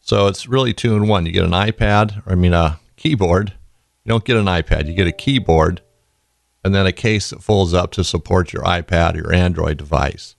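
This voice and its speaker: A man hosting a podcast in a casual, rambling way, his voice raspy and tired from being sick. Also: low voice, moderate pace, no echo. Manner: rambling, folksy, goes off on tangents. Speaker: podcast host.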